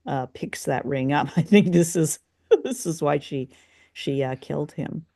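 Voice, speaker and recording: A woman's voice: sits low, a little slow, creaky, dark tone, dry recording, clean studio sound. A woman co-hosting a casual review chat, speaking in a musing, wry way. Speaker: podcast co-host.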